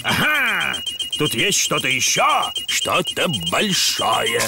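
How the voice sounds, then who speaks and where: A man voicing a jolly old grandpa pig who captains a boat in a cartoon, with a gravelly voice. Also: on the high side, huge pitch swings, slow pace, exaggerated voice. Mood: cheerful. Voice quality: creaky, gravelly. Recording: loud music behind the voice.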